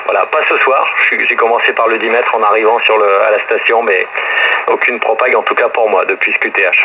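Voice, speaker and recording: Noisy radio signal, low gravelly man. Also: fairly even intonation, fast, highs cut off. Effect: shortwave radio transmission. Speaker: amateur radio operator. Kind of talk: casual ham radio contact chat.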